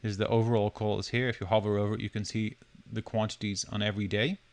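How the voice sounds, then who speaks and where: A man presenting a software demo, talking through it step by step in a loosely unscripted, explanatory way. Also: on the low side, flat delivery, fast. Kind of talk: step-by-step screencast tutorial narration.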